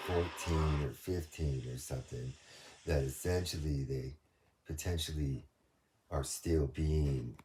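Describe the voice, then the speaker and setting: A man talking through a rambling monologue, halting, restarting and trailing off as he goes. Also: very deep voice, darkish tone, slight background noise. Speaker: video monologue speaker.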